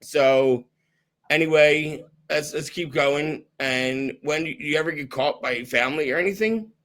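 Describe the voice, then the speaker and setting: A hoarse man who is losing his voice, hosting a podcast and chatting candidly in a loose, casual way. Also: a little high, measured pace, a bit bright. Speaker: podcast host.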